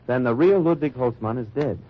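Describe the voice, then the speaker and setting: An actor playing a dry, wry undercover agent who narrates in first person in a 1940s radio drama. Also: male voice, medium pitch, fairly quick, natural voice. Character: hard-bitten American undercover agent narrating. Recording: lo-fi old radio transcription.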